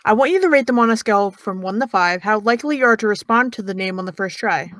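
A woman's voice: medium pitch, animated, quick pace, a bit bright, dry recording, studio quality, lightly acted. A young actress playing a sarcastic young woman who teases and needles in an audio drama. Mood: mocking. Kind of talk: audio drama dialogue of a video call.

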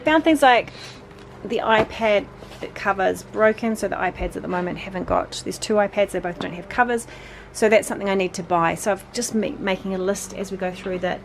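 A woman's voice: medium pitch, brisk, gravelly, slight background noise. A woman in her forties chatting as she sorts a bedroom, talking to her sons between remarks, with lots of fillers. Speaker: vlogger, mother. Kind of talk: casual decluttering narration. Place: bedroom, indoors.

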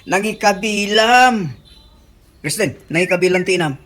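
A performer playing a gossip-loving, defensive husband in an Ilocano radio comedy drama, animated and exclamatory, with an Ilocano accent. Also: mid-range voice, moderate pace, slight background noise, natural voice. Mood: agitated.

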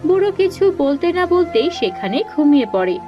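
A woman's voice: high-pitched, very animated, a little fast, dull audio. A woman reading scripted narration for a story. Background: prominent music.

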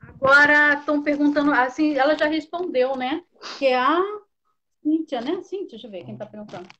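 A woman from Brazil with a high voice leads an online interview, eager and admiring as she reads out viewers' questions. Her audio comes through dull and lo-fi. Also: brisk, sharp tone.

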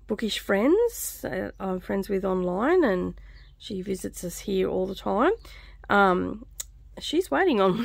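Casual craft host: middle-aged woman, scratchy fry-laden voice, a bit tired and dry-throated, recorded without room echo. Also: mid-range voice. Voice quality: slightly croaky, scratchy voice. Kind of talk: casual stitch-along chat. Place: small indoor craft room.

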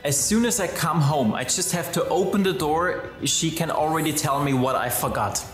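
A man's voice: fairly high pitch, moderate pace, echoey room. A man in his thirties, a native German speaker with a German accent, guest magician bantering playfully over a video call from his living room. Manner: playful, showman patter. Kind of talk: casual interview with magic demo.

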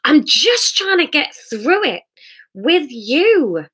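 A woman reading aloud and voicing a tipsy young man who pleads and half shouts during a fight with his partner. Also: high-pitched, big pitch swings, slow, voice pushed up, heavily acted, low-quality recording. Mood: pleading.

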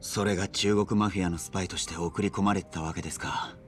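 A male actor plays a young, deferential gangster in a video game story. His voice is fairly low and his tone earnest and serious. Quiet music plays behind the dialogue. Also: steady tone, fast, close to an everyday voice. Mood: puzzled. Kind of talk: video game drama acting.

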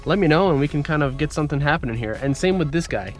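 A young man with a congested voice, chatting excitedly to viewers as he works on his car in a driveway, recorded on a head-mounted camera. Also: on the high side, fast, faint music behind. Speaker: car vlogger. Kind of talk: casual point-of-view vlog. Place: outdoors in a driveway, working in a car. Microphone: head-mounted action camera.

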